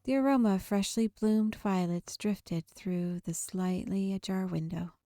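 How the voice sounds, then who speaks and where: A woman's voice: mid-range voice, fairly even intonation, unhurried, no room sound, clean studio sound. A woman reading visual novel text aloud in a storytelling manner as a gaming video host. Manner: narrative storytelling read-aloud. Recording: game music behind the voice. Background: soft game music.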